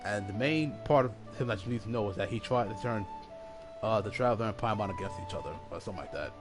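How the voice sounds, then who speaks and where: Low-voiced man explaining over game music. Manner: halting, explanatory, many fillers. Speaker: streamer. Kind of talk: casual gameplay commentary. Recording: stream with loud game music behind.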